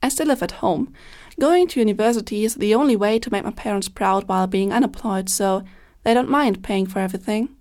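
A woman's voice: medium pitch, a little fast, a little mellow. Offhand young woman, careless tone. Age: 20s. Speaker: student guest. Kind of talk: scripted panel discussion.

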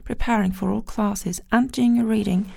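A woman's voice: medium pitch, steady tone, moderate pace, creaky, mellow, slight background noise. A nineteen-year-old woman, a student guest on a scripted panel, talking in a prim, earnest, disapproving way. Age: teens.

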